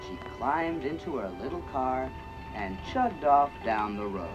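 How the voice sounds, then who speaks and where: A man narrating a children's read-along record slowly and clearly, with funky 1980s synth music behind. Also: mid-range voice, expressive, highs cut off. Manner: slow and clear for young children. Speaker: storybook narrator.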